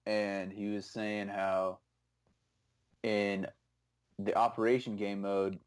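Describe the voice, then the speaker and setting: Flat-toned young male podcast guest. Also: on the low side, slow, smooth. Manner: laid-back, plain-spoken, casual swearing. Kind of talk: casual gaming podcast chat.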